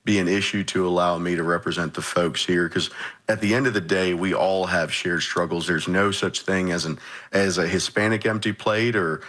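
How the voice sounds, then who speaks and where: Male state legislator as panel guest, low-pitched, measured and explanatory, unscripted political talk. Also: steady tone, a bit bright.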